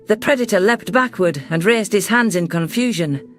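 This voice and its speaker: A man takes on a caring female alien leader telling a science fiction story in the first person. The voice is pitched high, gentle and reflective, with quiet music underneath. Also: moderate pace. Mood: concerned. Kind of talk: first-person sci-fi story narration and dialogue.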